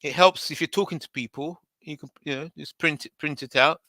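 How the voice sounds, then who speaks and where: A man hosting a livestream in casual chat, hoarse, rambling and repeating himself with many restarts. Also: on the high side, a little fast, sharp tone, dry recording. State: hoarse, voice going. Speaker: livestream host.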